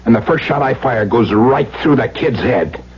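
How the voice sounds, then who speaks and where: An actor playing a cold, taunting criminal on the run, talking tough, domineering slang in an old-time radio drama. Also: male voice, mid-range voice, expressive, normal speed, close to an everyday voice. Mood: tense threatening. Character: menacing armed fugitive criminal, cold and taunting. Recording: muffled radio recording with hum and noise.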